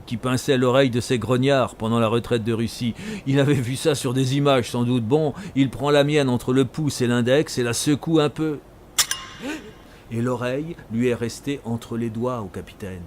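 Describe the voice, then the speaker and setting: A man's voice: mid-range voice, steady tone, normal speed, a little mellow, a little hiss or hum, close to an everyday voice. An actor playing a talkative old ex-soldier, telling his story conversationally and teasingly in an audio drama. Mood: wry, reminiscing. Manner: conversational, teasing, addresses the listener directly. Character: talkative old male ex-soldier telling tall tales in a tavern.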